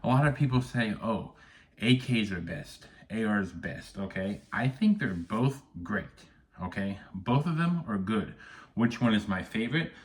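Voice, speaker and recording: Man, low voice, casual vlog, rambling, keeps saying okay, camera audio, home office. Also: expressive, measured pace. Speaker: firearms YouTuber. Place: messy home office room. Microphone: no external mic, camera audio.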